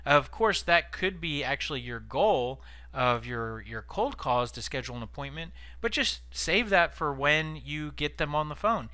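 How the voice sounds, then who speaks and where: A man's voice: fairly high pitch, animated, moderate pace, hardly any pauses, pushed and bright, clean tone, no room sound. A man giving informal instructional tips as a sales trainer, explaining conversationally and stressing key words.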